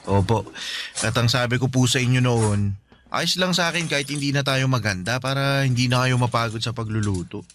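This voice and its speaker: A man's voice: mid-range voice, fairly quick, fairly bright tone, faint music behind, close to an everyday voice. An actor playing a polite, slightly shy teenage son who speaks respectfully to his mother in a radio drama. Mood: earnest. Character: polite teenage son who just graduated high school.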